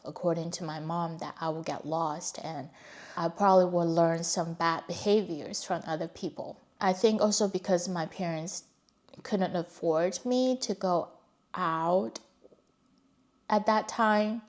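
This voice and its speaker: A woman's voice: fairly low pitch, steady tone, a little slow. A young adult woman from China, a native Mandarin speaker with a Chinese accent, telling her life story in a reflective, candid way. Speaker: vlogger. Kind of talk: personal storytelling.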